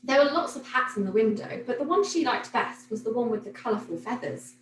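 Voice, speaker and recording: A woman reading a children's picture book aloud like a teacher, in an expressive, animated storytelling manner. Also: fairly high pitch, fairly quick. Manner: animated, clearly paced storytelling for young children. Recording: roomy echo, noticeable background noise.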